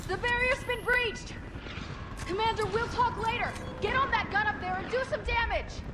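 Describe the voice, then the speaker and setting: An actress playing a frantic female soldier shouting urgently in battle. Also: extremely high pitch, moderate pace. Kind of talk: video game cutscene acting. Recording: gunfire and battle noise, distant mic.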